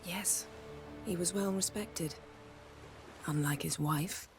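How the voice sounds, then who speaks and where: An actress playing a haughty, sharp-witted sorceress in a video game, speaking with an English accent in a poised, sardonic, precise way. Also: female voice, on the low side, slow pace, soft background music. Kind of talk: video game cutscene acting.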